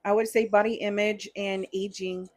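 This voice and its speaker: A woman in her thirties talks as a guest on a casual podcast. Her voice is mid-pitched and she speaks in a relaxed, chatty way, dropping in ums and likes as she goes. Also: moderate pace. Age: 30s.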